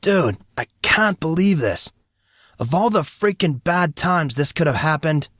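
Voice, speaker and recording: A man voicing an indignant, excitable teenage high school boy who talks in slangy exclamations. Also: unhurried, no echo. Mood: dismayed.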